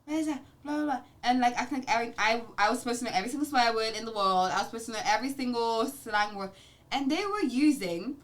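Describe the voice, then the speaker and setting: A young woman co-hosting a podcast, talking in an expressive, slangy casual way. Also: fairly high pitch, fast. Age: young adult. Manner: expressive, slangy, laughs readily. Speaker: podcast co-host.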